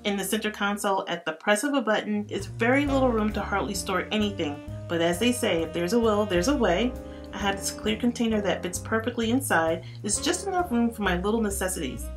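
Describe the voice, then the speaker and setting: A woman's voice: mid-range voice, lively intonation, a little fast, music in the background. A woman vlogger chatting upbeat to her viewers in a voice-over tour. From Florida. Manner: chatty and upbeat, addressing viewers as you guys. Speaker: lifestyle vlogger.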